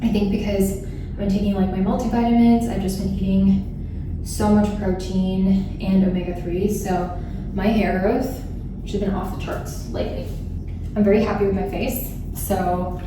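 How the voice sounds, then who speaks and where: A woman's voice: mid-range voice, little pitch movement, normal speed. A young woman who grew up in Thailand, chatting casually through her makeup routine with lots of "like" fillers. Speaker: beauty vlogger. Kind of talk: casual get-ready-with-me chat. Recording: roomy echo, distant mic, background noise.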